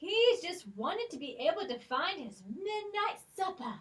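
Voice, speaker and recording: A woman voicing a clever, confident young girl in a children's puppet show, animated and exclamatory. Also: extremely high pitch, measured pace, heavily acted. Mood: plucky, triumphant.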